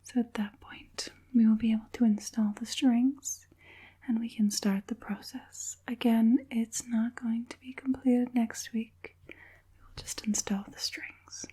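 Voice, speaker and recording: A woman acts out an evil witch puppet master for an ASMR roleplay, speaking in a very breathy whisper. The delivery is slow and soft, with the polite, secretive air of a professional at a fitting. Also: on the high side, little pitch movement. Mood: polite, businesslike.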